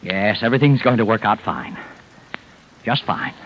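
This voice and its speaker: An actor playing an evasive, guilty schemer in 1950s radio drama, halting, uneasy and falsely smooth. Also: male voice, on the low side, natural voice. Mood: flustered. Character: evasive, nervous male schemer hiding his guilt. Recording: muffled, noisy vintage radio recording.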